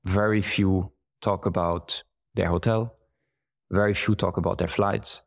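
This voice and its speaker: A man, speaking in a measured, thoughtful way as an interview guest. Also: sits low, steady tone, vocal fry. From German-speaking Belgium. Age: 40s. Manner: measured, thoughtful, listing examples at length. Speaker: guest, brand executive. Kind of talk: reflective conversational interview.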